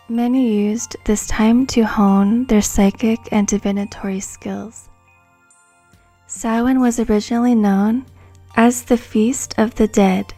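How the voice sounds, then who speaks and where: A woman giving a soft-spoken, hushed and soothing ASMR narration from a script. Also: fairly high pitch. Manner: hushed, slow, soothing delivery.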